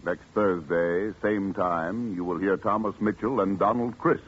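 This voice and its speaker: Very deep, slow radio show announcer. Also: male voice, fairly even intonation, very noisy. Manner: slow, solemn, measured delivery. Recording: tinny 1940s radio broadcast with loud music.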